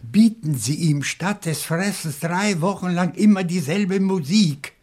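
Husky, deliberate professor sleuth. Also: male voice, high voice, darkish tone. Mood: explaining, insistent. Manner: deliberate, theatrical, reasoning step by step. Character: shrewd, indignant male professor turned amateur sleuth. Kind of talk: German radio crime play acting.

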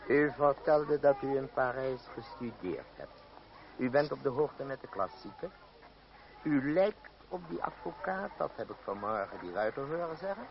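A man's voice: mid-range voice, lively intonation, moderate pace, gravelly. An actor playing a wily, middle-aged boss of a theatre troupe in an old radio play, pompous and unctuous, hemming as he schemes. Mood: sly, pressing. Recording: dull, noisy old radio recording.

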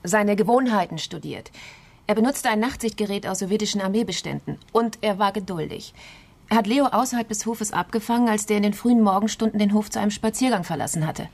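A woman's voice: medium pitch, quick pace, creaky, a little mellow. Composed, matter-of-fact female investigator. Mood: sober. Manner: matter-of-fact, clipped case reporting. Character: composed, determined female investigator laying out a case. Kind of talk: crime radio play dialogue.

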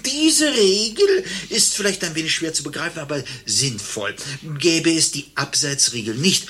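An impatient, pedantic fellow in a satirical radio play. His voice sits fairly high, bright and forceful with a rough husk, and he argues every point with emphasis. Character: indignant, impatient male patient, pedantic explainer.

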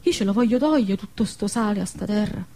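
A woman's voice: medium pitch, animated, a little fast, warm tone, close to an everyday voice. An actress playing a defiant, pregnant young village woman in a radio drama, anguished and impassioned, with a southern Lazio dialect. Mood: resolute, impassioned. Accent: southern Lazio Italian dialect.

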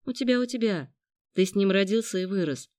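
A reader voicing a confident, sharp-witted young law student in an audiobook, speaking in an assured, explaining way. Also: mid-range voice, expressive, brisk, dry recording, clean studio sound, lightly acted.

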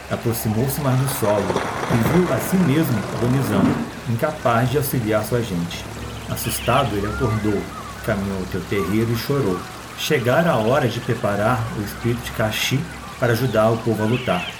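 A man's voice: mid-range voice, fairly quick, very noisy. A man with a Brazilian accent reading a scripted story as its narrator.

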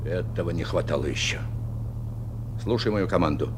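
An actor playing an authoritative, careful submarine commander in a radio drama, with clipped commanding delivery. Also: male voice, sits low, moderate pace, a little hiss or hum, natural voice. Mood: commanding, urgent. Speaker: naval commander. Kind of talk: Soviet radio drama acting.

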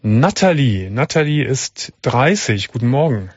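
A man hosting a late-night radio call-in show, calmly asking questions and giving advice. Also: medium pitch, a little slow, breathy edge, no room sound. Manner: calm, probing, interrupts to clarify. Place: radio studio. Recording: dull broadcast radio sound.

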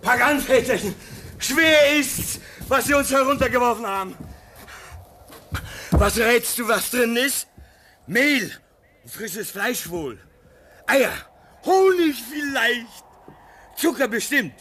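Earnest, comforting man at a remote outpost: radio play, unhurried, widely varied intonation. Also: very high voice, animated, sharp tone, slight background noise, heavily acted. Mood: eager. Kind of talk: theatrical German radio play acting.

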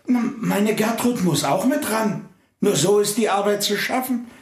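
An actor playing a humble, hesitant farmer in a radio play, talking haltingly. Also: male voice, high voice, roomy sound. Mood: earnest. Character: humble male farmer, hesitant, plain-spoken.

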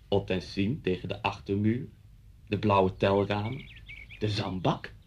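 A man's voice: lowish voice, slightly rough, full character voice. An actor in a radio play playing a pedantic, menacing policeman, officious and insinuating in his precise way of talking. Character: pedantic, menacing policeman, the teacher's former pupil.

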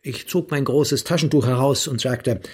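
A man's voice: mid-range voice, moderate pace, noisy background, close to an everyday voice. An actor playing a primly disapproving man who recounts his memoir in an audio drama.

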